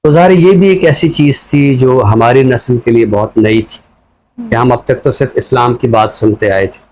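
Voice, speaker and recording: A man with a Pakistani accent and a mid-range voice talks as a scholarly commentator. He explains his points in a measured, reflective way while keeping a conversational style. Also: medium pitch, darkish tone, poor audio. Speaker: scholar commentator on a talk show.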